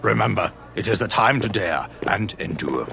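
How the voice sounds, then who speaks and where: A grand older statesman in an audio drama. The voice is bright and forceful, and every sentence is delivered like a formal, eloquent oration. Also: on the low side, animated, moderate pace, creaky, soft background music, exaggerated voice. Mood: grandly encouraging.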